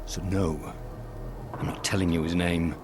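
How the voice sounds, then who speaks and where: An actor playing a guilt-ridden, protective police lieutenant in a video game, speaking in an earnest, guarded, halting way. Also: male voice, deep voice, flat delivery, over music. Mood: guarded, pleading. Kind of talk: video game dialogue acting.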